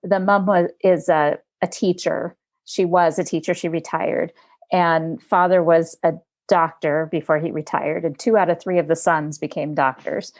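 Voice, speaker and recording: A woman hosting a podcast, introducing her guest and chatting with her in a relaxed, searching way. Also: lowish voice, moderate pace. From United States. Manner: warm, talkative, searching for words with fillers. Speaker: podcast host. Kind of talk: conversational interview. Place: home studio in Montana.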